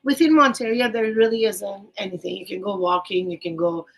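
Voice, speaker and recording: A woman's voice: mid-range voice, steady tone, brisk, vocal fry. Gushing woman with a Persian accent. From Iran. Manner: enthusiastic, gushing, rambling with restarts. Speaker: guest, volunteer English teacher. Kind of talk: casual podcast interview.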